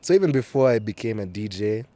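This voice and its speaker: A young man in his twenties from Uganda with Kenyan-tinged English, a DJ chatting as a podcast guest in an easygoing way, full of "like" and quick laughs. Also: lowish voice, lively intonation, dark tone. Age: 20s. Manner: easygoing, lots of "like", laughs readily. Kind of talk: casual podcast chat.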